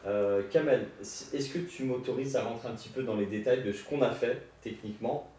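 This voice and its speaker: Very echoey recording, man away from the mic, low mellow voice, friendly clinician interviewer. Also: a little fast. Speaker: dental clinician interviewing his patient. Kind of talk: unscripted conversational interview. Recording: very echoey, distant mic, faint noise.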